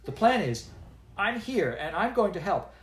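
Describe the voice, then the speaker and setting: A man's voice: sits high, big pitch swings, a little slow, roomy sound, pitched above the performer's own voice. A man voicing a young human girl, an apprentice wizard, who talks hesitantly with ums and uhs. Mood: indignant.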